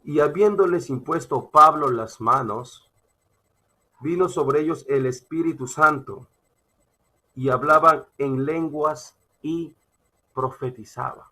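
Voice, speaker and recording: A man with a Peruvian accent preaching a sermon, emphatic and halting, repeating phrases as he teaches from scripture. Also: on the high side, pushed and bright, slightly rough, low-quality recording. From Peru. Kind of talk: sermon with Bible reading and personal testimony.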